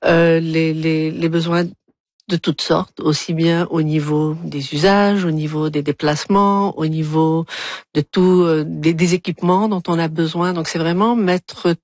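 A woman's voice: deep voice, steady tone, unhurried, darkish tone, no echo. A middle-aged woman from Algeria, an urban planner answering interview questions, often restarting and repeating words as she searches for them.

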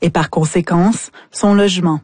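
Low-voiced woman radio reporter. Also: steady tone, normal speed, a little mellow, husky, no room sound. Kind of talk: scripted narration of a news report.

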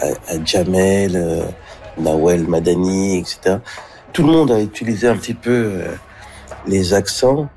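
A man giving a reflective, spontaneous interview, halting as he searches for his words. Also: low-pitched, a little mellow. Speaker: interviewed guest.

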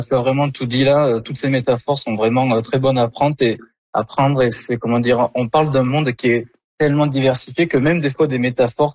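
Young guy w southern french accent, husky, explaining stuff, dull lofi link. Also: medium pitch, a little mellow, no echo. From Toulouse, southern France. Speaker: interview guest, mycology researcher. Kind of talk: explanatory interview talk. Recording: dull lo-fi remote connection.

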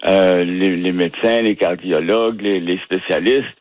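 A man from the Ottawa region with a Canadian French accent, a guest who talks haltingly and restarts words as he searches for them. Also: low voice, fairly even intonation, no room sound. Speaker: interview guest. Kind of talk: casual phone interview. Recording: tinny dry phone line.

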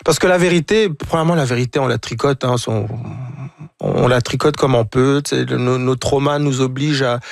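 A man, a guest on a talk show, speaking reflectively and haltingly, often repeating words as he searches for them. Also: medium pitch, steady tone, gravelly, no room sound, clean studio sound. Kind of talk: reflective conversation.

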